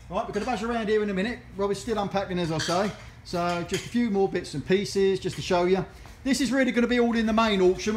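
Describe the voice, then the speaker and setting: A man with a Cockney accent giving a jokey, rambling walk-round of items before an auction, filmed on a handheld phone in a large indoor warehouse. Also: high voice. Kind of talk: casual walk-through commentary. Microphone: handheld phone camera, speaker off-screen. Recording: echoey warehouse room.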